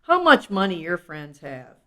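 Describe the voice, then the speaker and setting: A woman's voice: fairly low pitch, big pitch swings, measured pace, sharp tone. A woman reading a children's self-help book aloud in an instructive, explanatory way, with casual asides.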